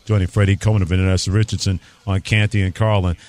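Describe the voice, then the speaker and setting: A man's voice: sits low, flat delivery, fast talker, darkish tone, slight background noise. A man hosting a sports talk show on the radio, introducing segments and chatting with guests.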